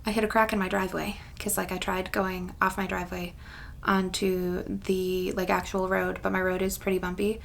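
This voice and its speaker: Woman around 30 chatting away on her vlog, gravelly fry, rambly, bit of noise behind her. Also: mid-range voice, flat delivery, moderate pace. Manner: rambling, self-deprecating. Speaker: craft channel vlogger. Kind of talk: casual chatty vlog to camera.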